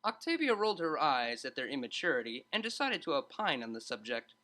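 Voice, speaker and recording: A woman's voice: very deep voice, big pitch swings, brisk, a bit bright. A woman reading scripted story narration expressively.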